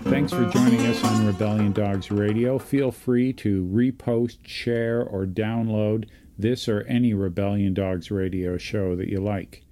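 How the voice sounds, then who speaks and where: A man's voice: lowish voice, steady tone, measured pace, hardly any pauses, music in the background. A man speaking as a radio host in a casual, conversational style. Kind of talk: casual interview and show sign-off.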